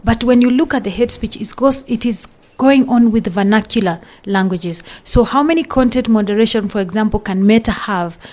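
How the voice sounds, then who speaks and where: A woman's voice: fairly high pitch, normal speed, hardly any pauses, a little mellow. A woman from Kenya with a Kenyan English accent and Swahili as her first language, speaking earnestly as an interview guest, with many restarts and "you know" fillers. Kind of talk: podcast interview.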